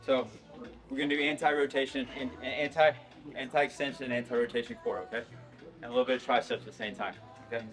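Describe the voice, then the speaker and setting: A man coaching a workout in a large indoor gym, giving quick, instructive cues with a teasing edge. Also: medium pitch, little pitch movement, soft background music. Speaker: trainer.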